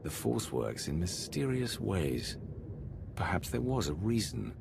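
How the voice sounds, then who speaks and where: An actor playing a powerful, stern and self-assured Sith warrior commander in a video game. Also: male voice, fairly low pitch, very animated, measured pace, creaky, noisy background, full character voice. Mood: measured, serious.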